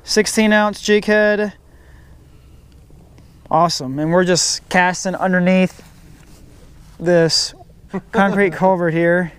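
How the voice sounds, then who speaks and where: A man's voice: sits high, steady tone, takes it slowly, pauses often, clean tone. A man in his thirties hosting a casual fishing vlog outdoors by a creek, filmed on a head-mounted action camera and talking to viewers. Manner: talks to viewers as "guys". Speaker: fishing channel host.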